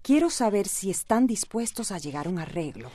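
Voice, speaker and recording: A firm Latin American lawyer in a radio drama. She has a middle-pitched voice, probing and authoritative, in a close, dry recording. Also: big pitch swings, fairly quick, dark tone, dry recording. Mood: decisive, businesslike. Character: firm, probing woman lawyer, loyal and decisive. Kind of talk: radio soap opera acting.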